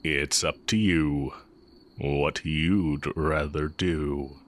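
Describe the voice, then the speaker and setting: Guy doing a super deep slow talking evil magic teacher, sly and teasing but kinda tender, intimate fantasy roleplay audio. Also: fairly even intonation, slight background noise, heavily acted. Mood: coaxing, teasing. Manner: deliberate, teasing, with long pauses. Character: exiled heretic male magic teacher, sly, brooding, tender dark mage.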